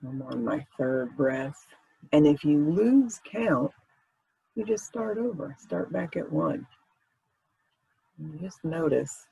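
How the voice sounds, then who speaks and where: A man guides a meditation in a fairly high voice full of heavy creak. He speaks very slowly and informally, with gentle, conversational instructions. The sound is a little dull. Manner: gentle, informal, unhurried phrasing.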